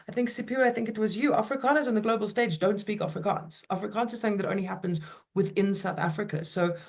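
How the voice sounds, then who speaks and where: A woman moderating an academic panel over a video call, asking long, measured questions. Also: medium pitch.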